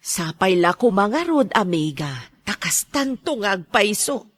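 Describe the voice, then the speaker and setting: A woman plays a sociable friend in a radio drama. She sounds husky and animated. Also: medium pitch, a little slow, no room sound. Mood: friendly. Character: sociable female friend of a middle-aged woman.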